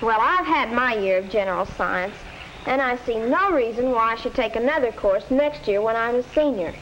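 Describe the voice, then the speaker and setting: A woman's voice: a little high, lively intonation, moderate pace, fairly bright tone, poor audio. A young woman playing a stubborn, teasing American teenage daughter in a 1950s educational film. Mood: defiant. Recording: hissy 1950s film soundtrack.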